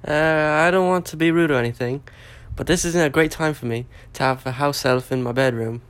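A man's voice: fairly high pitch, a little fast, a bit bright, smooth, natural voice. A man voicing a polite, nervous boy wizard in a storybook reading, with a hesitant, questioning manner. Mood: polite, puzzled.

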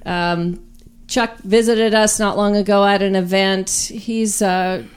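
A woman's voice: mid-range voice, steady tone, slow, clean tone. A woman chatting casually as a guest on a podcast. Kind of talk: casual chat.

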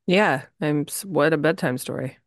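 A woman co-hosting a podcast, reacting with casual banter, swearing and expressive interjections. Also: low-pitched, normal speed, no echo, clean studio sound. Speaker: podcast co-host.

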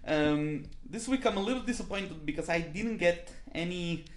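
A male podcast host with a slightly high-pitched voice. He chats casually and informally, bantering and dropping the occasional mild curse. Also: expressive, normal speed, a little hiss or hum. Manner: informal, mildly swearing banter.

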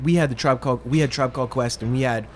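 A man's voice: medium pitch, fast, a little hiss or hum. A Québécois musician guest in a casual interview, switching between French and English with frequent restarts. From Quebec, Canada.

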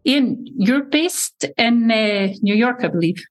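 A woman's voice: on the high side, lively intonation, takes it slowly, poor audio. A woman hosting a podcast interview, asking long, formal, winding questions. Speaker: podcast host.

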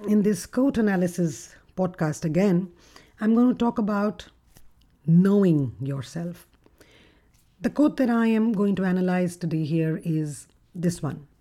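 A woman with a deep, gruff voice hosting a reflective solo podcast as a relationship coach, speaking in a measured, thoughtful way. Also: lively intonation, warm tone. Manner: measured, thoughtful delivery with occasional fillers.

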